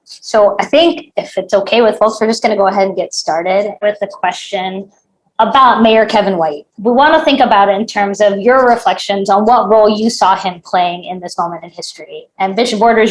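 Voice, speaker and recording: A woman's voice: medium pitch, poor audio. Woman moderating a panel discussion.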